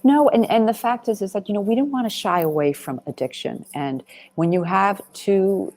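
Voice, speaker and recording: A woman's voice: mid-range voice, moderate pace, a little mellow, a little hiss or hum. A woman answering interview questions as a film director, talking in a conversational, emphatic, rambling way peppered with "you know". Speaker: documentary director being interviewed.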